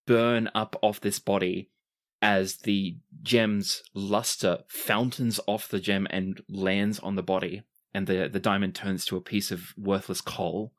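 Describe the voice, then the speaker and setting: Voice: low-pitched, steady tone, slow pace. A game master narrating a tabletop roleplaying game, telling the story in dramatic detail and dropping into casual asides.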